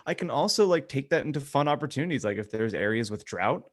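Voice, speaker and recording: A man's voice: medium pitch, animated, quick pace. A man co-hosting a podcast, chatting casually with dry, irreverent, self-mocking humor. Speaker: podcast co-host. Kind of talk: casual joking chat.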